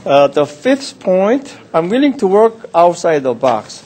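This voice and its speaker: Older man, halting council speech. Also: high voice, sing-song, dark tone. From South Korea. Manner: measured, halting, many "uh" pauses. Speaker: city councillor. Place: council chamber.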